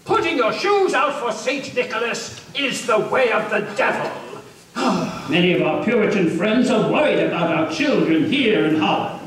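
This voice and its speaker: A man's voice: sits high, sing-song, normal speed, fairly bright tone. An actor playing a stern, disapproving Puritan man in a puppet show. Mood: scolding. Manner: stern, scolding. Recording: very echoey room, distant mic.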